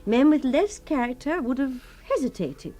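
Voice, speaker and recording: Doting melodramatic wife, high female voice, very animated intonation, overwrought, fretful, radio comedy-drama. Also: moderate pace, slight background noise. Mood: gushing admiration. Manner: overwrought, gushing, emphatic.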